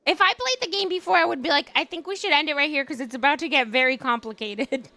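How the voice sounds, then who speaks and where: A hoarse young woman chats on a live stream, her voice very high and bright. She tells an animated story, often laughing and acting out what people said. The sound is close and dry. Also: fast talker, no room sound. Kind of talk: casual live-stream chat.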